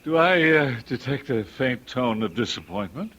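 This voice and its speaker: An actor playing a kindly, protective uncle in an old-time radio drama, wry and given to awkward stammering. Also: male voice, medium pitch, lively intonation, moderate pace, fairly bright tone. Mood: wry, teasing. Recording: dull vintage radio drama recording.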